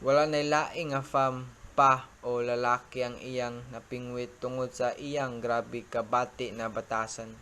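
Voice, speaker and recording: A young man narrating a student radio drama in a plain, steady reading voice. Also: medium pitch, a little slow, slight background noise. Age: young adult. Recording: music playing behind. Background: music.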